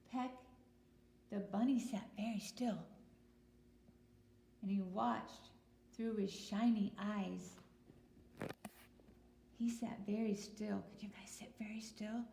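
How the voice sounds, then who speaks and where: Slow-talking woman teacher with creaky voice. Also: mid-range voice, mellow. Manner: simple, deliberate phrasing with questions to young listeners. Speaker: early childhood teacher. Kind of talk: picture-book read-aloud and talk to young children. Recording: roomy echo with noticeable hiss.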